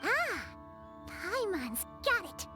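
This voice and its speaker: A woman voicing a small, childlike fairy companion in a video game, squeaky, excitable and sassy, speaking of herself in the third person. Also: very slow, music in the background, exaggerated voice. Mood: indignant, sassy.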